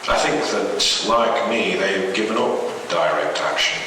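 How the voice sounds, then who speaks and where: A man narrates a scripted horror tale to a live event, drawing it out slowly and dramatically. The sound is heavily reverberant and picked up from a distance, with obvious noise. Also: lowish voice. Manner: slow, dramatic delivery. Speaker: story reader. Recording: echoey, noisy live hall recording from far off.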